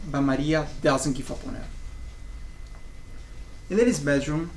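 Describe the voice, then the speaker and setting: A man's voice: on the high side, poor audio. A young man reading scripted narration of a stage play. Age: young adult. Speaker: student narrator of a play summary. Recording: faint room noise.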